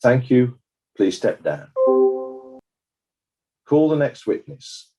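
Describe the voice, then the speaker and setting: A man playing a formal, probing examiner putting deliberate questions in a courtroom drama. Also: medium pitch, expressive, dark tone, faint music behind. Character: formal, probing male examiner at a legal inquest.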